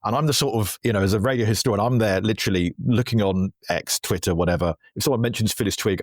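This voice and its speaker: A man's voice: lowish voice, fast, no room sound, studio quality. A British man chatting enthusiastically in an interview as a writer and historian, rambling and interrupting himself. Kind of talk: enthusiastic anecdotal interview chat.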